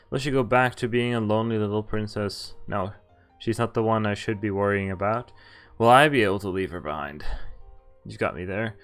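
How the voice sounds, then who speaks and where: Low-voiced man reading a game aloud. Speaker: game streamer. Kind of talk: reading visual novel text aloud with casual commentary. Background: game background music.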